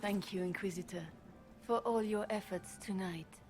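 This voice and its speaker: A woman's voice: medium pitch, noisy background, lightly acted. Stately empress in a reverberant hall acted by a woman. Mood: grateful. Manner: stately, formal, measured. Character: regal, composed empress addressing her court. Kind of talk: formal dramatic game acting. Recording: long hall reverb over music.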